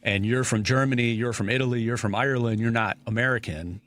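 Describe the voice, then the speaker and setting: A man's voice: medium pitch, fast talker, a bit bright, dry recording. A man hosting a talk radio show, chatting loosely with many fillers and restarts. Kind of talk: casual talk radio discussion.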